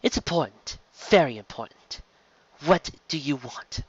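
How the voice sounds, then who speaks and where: Man voicing a hushed, mysterious woman interrogator. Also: a little high, expressive, husky, no echo, dull audio. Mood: hushed, insistent. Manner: hushed, slow, probing. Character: mysterious woman interrogator, soft, teasing and insistent.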